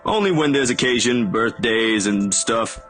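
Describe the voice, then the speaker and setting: A man's voice: mid-range voice, animated, a little slow, fairly bright tone. An awkward, kind, laid-back guy. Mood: awkward, easygoing. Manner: informal, slangy, slightly hesitant. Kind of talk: visual novel voice acting. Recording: music playing underneath. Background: game music.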